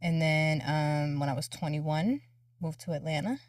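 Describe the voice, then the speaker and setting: A woman's voice: deep voice, moderate pace, darkish tone, no echo, clean studio sound, distant mic. A woman from North Carolina, born in Ethiopia, chatting informally in short phrases as an interview guest. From born in Ethiopia, grew up in North Carolina. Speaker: interview guest, behind-the-scenes creative. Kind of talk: casual chat.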